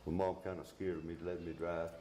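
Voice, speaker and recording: A man's voice: sits low, flat delivery, fast talker, warm tone, a little hiss or hum. A man being deposed as a witness, giving short, hesitant answers. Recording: echoey room with music over it.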